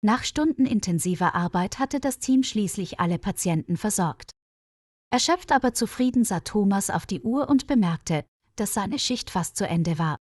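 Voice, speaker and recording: A woman reading a scripted story as narrator, in a measured, clearly enunciated way. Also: medium pitch, lively intonation, darkish tone, no room sound. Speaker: audiobook narrator of a German learners' story.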